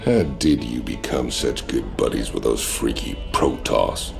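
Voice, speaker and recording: An actor playing a brash, swaggering mercenary marine with a crude drawl. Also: male voice, measured pace, music in the background, heavily acted. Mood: mocking. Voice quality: deep gravelly.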